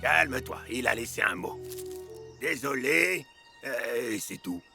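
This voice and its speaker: A creaky old cartoon grandfather with a French accent. He is a retired general who barks orders and mocks, with lively swings of pitch. Music plays behind him. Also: takes it slowly. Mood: gruff, calm. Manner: barking, mocking, commanding. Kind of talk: animated series voice acting.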